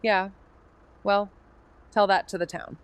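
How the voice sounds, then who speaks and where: Woman voicing an edgy young lady sleuth, wry and impatient, smooth clear voice. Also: medium pitch, steady tone, slow pace, close to an everyday voice. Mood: restless, wry. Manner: wry, restless, blunt. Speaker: investigator and narrator. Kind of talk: audio drama acting.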